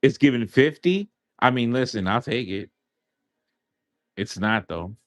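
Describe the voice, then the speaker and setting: A man's voice: mid-range voice, expressive, a little slow, no room sound, studio quality. A man in his thirties hosting a casual livestream, joking and rambling in slangy talk. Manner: joking, rambling, often says "you know what I'm saying". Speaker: livestream host.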